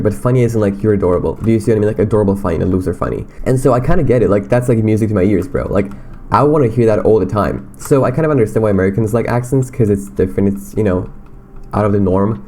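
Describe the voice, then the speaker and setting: A man's voice: lowish voice, mellow, slight background noise. A young man from Romania with a slight Romanian accent, rambling in a casual, self-deprecating way on his solo podcast. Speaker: podcast host.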